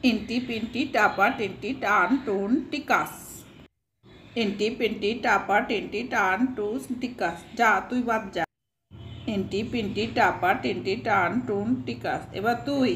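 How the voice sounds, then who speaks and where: A woman's voice: mid-range voice, a bit bright, lightly acted. A woman voicing a bossy, teasing older child who leads a game in a doll-play story. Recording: faint background noise.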